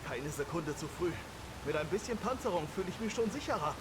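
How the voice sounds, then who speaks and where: A man's voice: fairly high pitch, lively intonation, quick pace, far from the mic, a crowd in the background, lightly acted. A man playing a casual, chatty character in a wartime video game. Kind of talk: scripted game dialogue. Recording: loud music over the voice.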